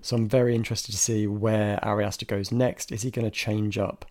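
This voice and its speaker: Casual podcast co-host, male, low voice, chatty with lots of hedging. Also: flat delivery, brisk, a little mellow. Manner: chatty, many restarts and hedges, bursts of laughter. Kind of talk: casual film discussion.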